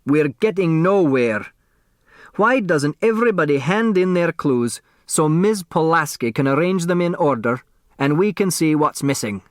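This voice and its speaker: A man voicing a friendly, hoarse doorman who rallies the others in an audiobook. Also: a little high, animated, unhurried, dry recording, clean studio sound, pitched above the performer's own voice. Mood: impatient. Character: friendly doorman with a battered face, rallying others.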